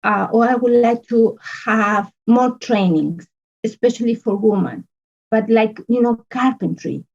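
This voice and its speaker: A woman, an earnest podcast guest talking in halting phrases full of restarts and fillers. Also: fairly high pitch, creaky, darkish tone, highs cut off. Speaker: podcast guest, business consultant. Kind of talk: casual interview conversation.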